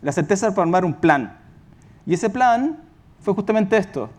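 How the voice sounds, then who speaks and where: A man with a Chilean accent giving an informal conference presentation as a company executive, halting and correcting himself as he goes. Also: sits high, animated, a little mellow.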